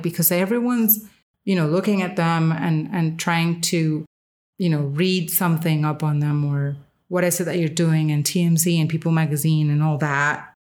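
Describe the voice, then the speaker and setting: A woman hosting a solo podcast, giving opinionated commentary in an emphatic, candid and casual way. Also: on the low side. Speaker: podcast host.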